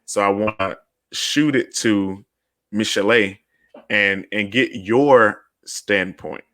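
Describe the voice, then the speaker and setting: A man moderating a panel discussion as host, explaining deliberately and with emphasis. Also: fairly low pitch, fairly bright tone, dry recording.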